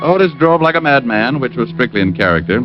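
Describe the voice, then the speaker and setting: A man's voice: mid-range voice, expressive, fast, close to an everyday voice. A man playing a sardonic, dogged private detective who narrates his own case and wisecracks in 1940s radio style. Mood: narrating. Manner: wisecracking, hard-boiled, sardonic. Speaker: lead detective and first-person narrator. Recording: dull old radio recording with music.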